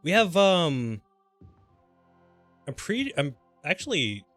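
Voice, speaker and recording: A man live-streaming a game with casual, exclamatory commentary, reacting out loud and swearing freely. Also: a little high, sing-song, takes it slowly, faint music behind.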